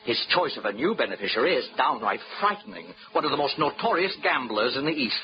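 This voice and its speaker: A man's voice: medium pitch, very animated, fast, lightly acted. A man playing a fussy, genteel, fretting insurance company manager in a 1940s radio drama. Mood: earnest. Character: fussy, fretful male insurance general manager. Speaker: general manager. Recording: dull old radio recording with noise.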